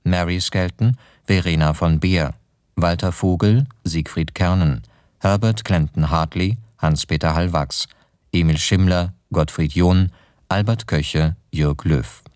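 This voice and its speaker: A man reading a scripted broadcast announcement in a formal, measured manner. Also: deep voice, a little mellow, dry recording, studio quality. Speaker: radio announcer reading credits.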